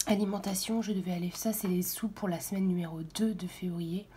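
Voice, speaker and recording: Hoarse woman vlogger, hushed and halting, dark mellow tone, faint hiss behind. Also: lowish voice, fairly even intonation. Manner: soft, half-whispered, hesitant with many fillers. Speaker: budget vlogger. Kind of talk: casual budget walkthrough. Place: at home, early morning.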